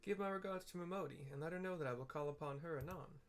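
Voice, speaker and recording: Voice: mid-range voice, quick pace, close to an everyday voice. A player reading the lines of an earnest young woman leader aloud in formal game dialogue.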